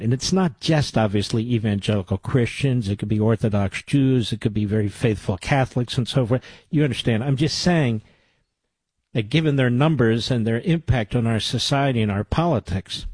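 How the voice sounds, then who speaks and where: A male talk radio host with a husky, slightly breathy voice, recorded dry and close. He argues emphatically and doubles up his phrases for stress. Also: mid-range voice, warm tone, dry recording.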